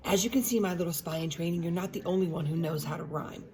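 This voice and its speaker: A woman voicing a strict, brisk woman spy instructor in a children's storybook, with a stern, formal, superior manner. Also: fairly low pitch, warm tone, slight background noise, close to an everyday voice. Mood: firm, superior.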